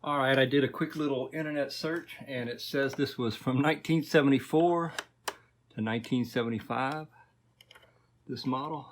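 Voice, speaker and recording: A man's voice: mid-range voice, moderate pace, a little hiss or hum. A man hosting a casual repair video, talking informally and thinking aloud as he works. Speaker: host of an electronics repair video. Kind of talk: casual workbench vlog narration.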